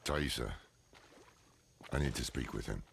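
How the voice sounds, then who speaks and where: A man playing a weary, stubborn old scholar in a video game cutscene, gravelly and weighty in his delivery. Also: extremely low pitch, a little slow, fairly bright tone, exaggerated voice. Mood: grim, weary. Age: older.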